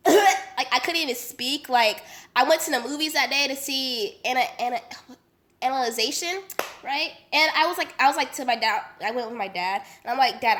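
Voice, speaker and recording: A young woman with a high voice tells a story in a casual vlog. Her voice is bright, with a stuffy, nasal sound from a cold. She talks in a chatty, animated way, with plenty of slang and fillers. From Las Vegas. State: sick, congested and nasal. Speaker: vlogger.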